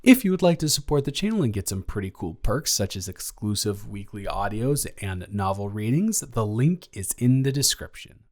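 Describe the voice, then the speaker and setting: Man reading out channel shout-outs. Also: on the low side, very animated, normal speed, few pauses. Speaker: audio roleplay creator, host. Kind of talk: channel supporter shout-outs, read aloud.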